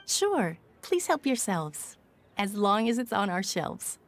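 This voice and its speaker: A woman playing a polite, helpful young shopkeeper with a courteous, gentle manner in a video game. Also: mid-range voice, sing-song, slow, a little hiss or hum. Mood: obliging.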